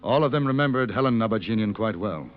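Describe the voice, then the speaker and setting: An actor playing an unflappable American gun-for-hire in an old radio western, dry and measured. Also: male voice, fairly low pitch, animated, soft background music, natural voice. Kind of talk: old-time radio western acting and narration. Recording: muffled vintage radio recording.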